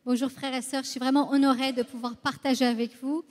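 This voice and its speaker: High-voiced Iranian-born woman in echoing hall. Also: little pitch movement, quick pace, darkish tone. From Iran, moved to France at eleven. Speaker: guest giving testimony. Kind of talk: personal church testimony. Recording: reverberant hall, long echo.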